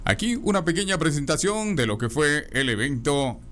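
Steady male news reporter. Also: a little high, moderate pace. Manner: even newsreader tone.

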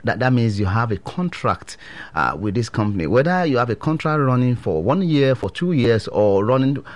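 A Nigerian man speaking as an HR expert on a radio show, with a bold, deep voice, explaining with frequent restarts and chuckles. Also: sing-song, moderate pace. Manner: hesitant restarts, laughs. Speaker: HR expert guest. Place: radio studio.

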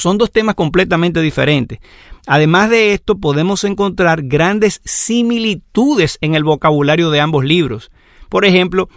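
Male Bible teacher, slightly raised pitch with some fry, patient explanatory lecture delivery. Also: fairly bright tone, no echo, studio quality. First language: Spanish. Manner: measured, explanatory, lists examples. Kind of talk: lecture on scripture.

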